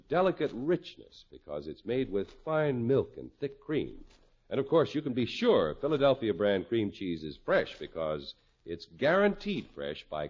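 Deep, husky 1950s radio announcer man. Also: darkish tone. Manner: polished, emphatic salesmanship. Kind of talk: 1950s scripted radio announcing and sponsor pitch. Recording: dull 1950s radio recording.

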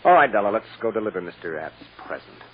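A kindly defense lawyer in a golden-age radio drama. His low voice moves very widely in pitch. The recording is dull and old. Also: big pitch swings, fast talker, slight background noise. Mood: grim, bitter. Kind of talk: 1940s-50s radio drama acting.

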